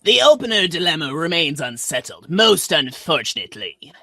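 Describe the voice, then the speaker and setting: A man's voice: fairly high pitch, sing-song, moderate pace, pushed and bright, dry recording, voice pushed up, heavily acted. A man voicing a stiffly formal, menacing creature with pompous, ornate politeness in a comic script reading. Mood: formal, courteous.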